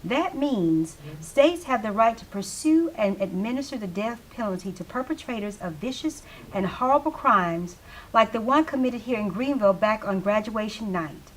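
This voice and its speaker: A woman's voice: medium pitch, lively intonation, moderate pace, natural voice, low-quality recording. A woman playing a chatty 1970s local radio morning newscaster in a play reading, colloquial and emphatic.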